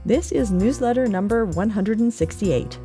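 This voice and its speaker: A woman's voice: medium pitch, lively intonation, moderate pace, dark tone, soft background music. A Canadian woman reading a scripted podcast newsletter segment in an upbeat, welcoming manner. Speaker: newsletter reader.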